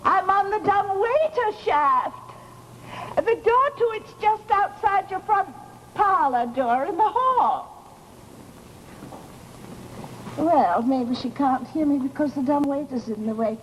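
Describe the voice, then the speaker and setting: Nosy widowed landlady, American, aggrieved and garrulous, very animated high voice, vintage radio drama with faint noise. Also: measured pace. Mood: calling out. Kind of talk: 1940s American radio horror acting. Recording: noisy old-time radio recording.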